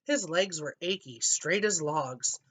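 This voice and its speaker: A woman storyteller reading a rhyming children's story aloud in an expressive way. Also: low-pitched, slow pace, slightly rough.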